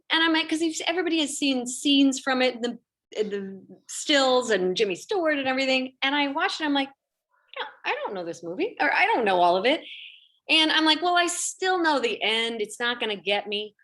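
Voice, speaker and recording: A woman chatting casually as a panel guest, telling things in an expressive, animated way. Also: sits high, a little fast.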